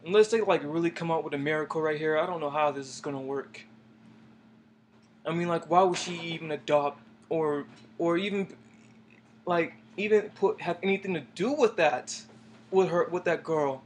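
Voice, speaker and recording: A man's voice: on the high side, moderate pace. A young man chatting casually about an anime, hesitant and trailing off at times. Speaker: co-host. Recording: faint background noise.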